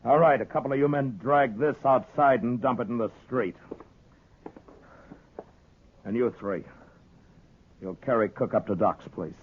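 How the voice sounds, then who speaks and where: An actor playing a stern, firm frontier marshal with terse commands in a 1950s radio western, on an old recording. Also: male voice, medium pitch, normal speed, phone-quality sound, natural voice. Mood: grim. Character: stern, weary frontier marshal keeping the peace.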